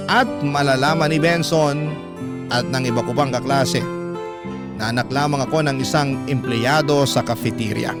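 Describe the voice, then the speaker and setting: A male radio host reads a first-person confessional story. His voice sits a little high, with an earnest, confiding, dramatic delivery. Prominent plucked guitar music plays behind him. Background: music bed with plucked guitar.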